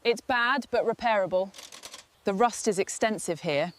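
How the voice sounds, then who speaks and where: A woman's voice: mid-range voice, moderate pace, natural voice. A woman playing the commanding boss of a machinery repair crew, giving businesslike instructions in a scripted workshop scene. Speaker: repair team leader.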